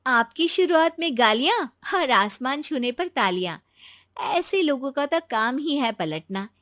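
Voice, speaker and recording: A woman's voice: high voice, animated, measured pace, fairly bright tone, dry recording, studio quality. A woman narrating a scripted moral story as a storyteller.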